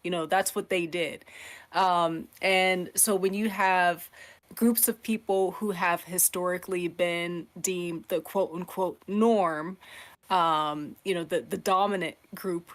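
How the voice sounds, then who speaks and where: A woman's voice: on the low side, fairly even intonation, slow. A woman on a podcast panel, talking casually and earnestly as she explains a point, acting out imagined quotes as she goes.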